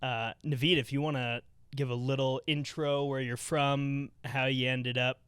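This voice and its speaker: A young man hosts a casual podcast from home, chatting loosely and circling back over his words. His voice is smooth and clear. The sound is dry and close. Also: mid-range voice, flat delivery, a little slow, fairly bright tone, dry recording. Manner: chatty, rambling with many fillers. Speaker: podcast host. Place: home living room.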